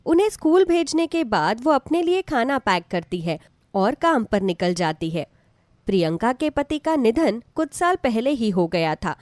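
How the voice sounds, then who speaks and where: A woman's voice: a little high, a little mellow, no echo. A woman narrating a scripted devotional story as a storyteller.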